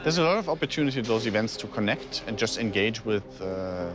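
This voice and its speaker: A man's voice: fairly low pitch, animated, quick pace. A man giving interview answers as an event spokesman. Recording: music behind the voice.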